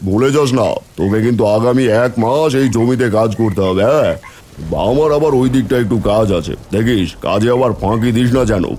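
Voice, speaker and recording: A man's voice: medium pitch, darkish tone, slight background noise, lightly acted. A man playing a commanding village landowner and emotional father in a cartoon folk tale, speaking dramatically. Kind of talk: Bengali cartoon fairy tale dubbing.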